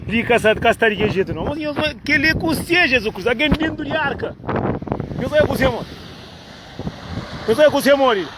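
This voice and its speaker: A man with a high voice talks in a rambling, repetitive, playful way, circling back to the same phrases. He is a vlogger from Cape Verde, speaking outdoors in the cold. The outdoor phone recording carries clear background noise. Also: animated, fast talker, long pauses.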